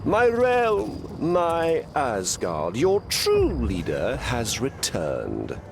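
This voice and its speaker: A man's voice: mid-range voice, wildly swooping pitch, drawn-out words, vocal fry, background noise, exaggerated voice. An actor playing a vain, gloating trickster god who rules as a usurper king in a video game, with a pompous, theatrical delivery. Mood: grandiose boasting. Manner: pompous, theatrical, self-praising. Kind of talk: video game character lines.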